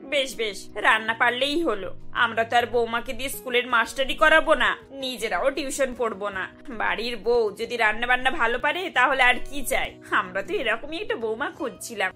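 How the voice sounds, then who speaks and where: Woman acting middle-aged cartoon mother-in-law, forceful bright tone, high pitch, practical, probing. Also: moderate pace, faint music behind. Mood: pleased.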